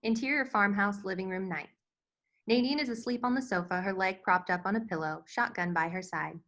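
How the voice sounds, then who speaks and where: A woman's voice: mid-range voice, a little fast, a bit bright. A woman reading scripted stage directions as the narrator of a screenplay table read. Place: virtual table read over video call.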